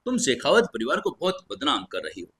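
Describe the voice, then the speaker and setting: A man voicing a spiteful young woman in an audio story, shouting indignantly and hurling accusations. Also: medium pitch, huge pitch swings, brisk. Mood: angry, scornful.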